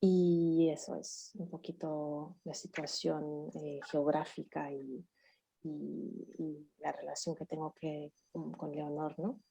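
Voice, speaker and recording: Woman guest, low mellow voice, slow and hesitant, video call. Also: level tone, vocal fry, slightly rough, low-quality recording. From Switzerland. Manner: hesitant, many fillers and restarts. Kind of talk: casual personal sharing in a group chat.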